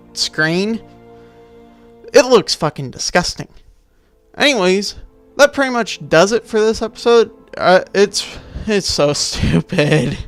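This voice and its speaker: A man's voice: a little high, fairly bright tone, smooth, dry recording. A man giving casual, rambling game commentary as a video maker, dismissive and freely swearing.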